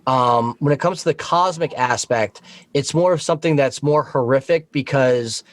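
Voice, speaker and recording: Guy guesting on a podcast, mid pitched voice, close dry sound, casually explaining stuff. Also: normal speed, no room sound. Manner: explanatory, informal.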